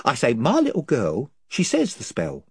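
A man's voice: medium pitch, wildly swooping pitch, normal speed, slightly rough, no room sound, exaggerated voice. A man doing a rambling rustic chief. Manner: rambling, long-winded, folksy. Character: fussy rustic male chief of invisible creatures. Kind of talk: audiobook character voice.

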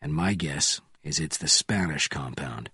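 A man voicing a calm, grimly certain seasoned agent in an audiobook thriller, speaking softly and firmly. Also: measured pace, breathy edge, no room sound, studio quality, heavily acted. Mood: quiet, grim. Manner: soft, low, firm.

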